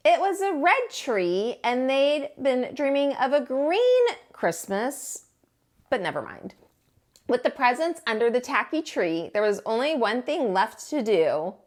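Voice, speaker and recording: A woman narrating a children's storybook as a storytime teacher, in an expressive read-aloud style. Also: high voice, measured pace.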